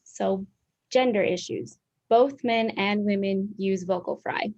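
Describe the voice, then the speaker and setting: A young woman student presenting a scripted explanation in a clear, explanatory way. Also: medium pitch, takes it slowly, a little mellow. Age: young adult. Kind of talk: scripted educational narration. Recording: dull lo-fi sound.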